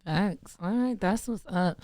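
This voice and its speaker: A woman's voice: mid-range voice, a little slow, a little mellow, dry recording, studio quality. A woman hosting a radio interview, easygoing and slangy, with short affirmations between questions. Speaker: radio show host. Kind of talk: casual interview.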